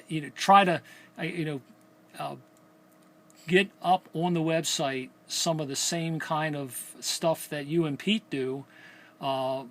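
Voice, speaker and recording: Middle-aged man, higher voice, halting delivery. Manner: hesitant, halting explanations. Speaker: amateur radio hobbyist. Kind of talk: casual radio chat. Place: indoors at a home electronics workbench.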